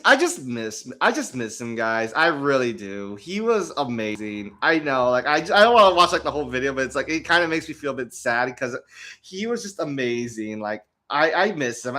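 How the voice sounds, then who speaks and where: A man's voice: mid-range voice, bright, forceful tone. A young man chatting casually on a livestream, rambling with lots of restarts and frequent laughs. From United States. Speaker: streamer.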